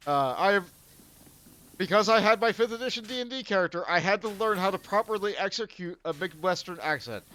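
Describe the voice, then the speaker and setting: A man's voice: sits high, animated, brisk, sharp tone, rough recording. A young man hosting a casual gaming stream, rambling and laughing often as he plays. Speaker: streamer host.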